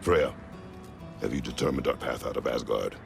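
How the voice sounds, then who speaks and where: An extremely low, heavily gravelled voice of a stern middle-aged warrior. He speaks tersely and gravely, like a weary general in a fantasy game. Loud music sits behind him. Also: full character voice. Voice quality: very deep, gravelly. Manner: terse, solemn, measured. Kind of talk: cinematic video game acting.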